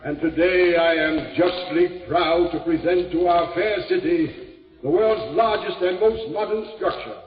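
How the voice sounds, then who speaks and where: An actor in an old radio drama playing a pompous civic bigwig making a formal, slow speech. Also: male voice, sits high, faint music behind. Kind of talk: 1940s radio acting, oratory. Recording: distant echoey hall, dull old radio recording.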